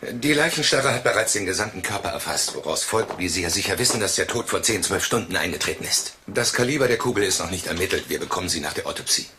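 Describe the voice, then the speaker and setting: An actor playing an officious, efficient police officer in a German radio crime drama, reporting formally and briskly. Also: male voice, sits low, expressive, background noise. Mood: formal, matter-of-fact.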